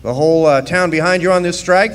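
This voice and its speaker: A man's voice: fairly high pitch, a little fast, smooth, lightly acted. A man as a wry miner in an echoing hall. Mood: sympathetic. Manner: folksy, wry. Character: wry, easygoing drifter miner, narrating his youth. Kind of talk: live original radio drama. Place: live performance in a resort hall. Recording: echoing hall, faint noise, live stage.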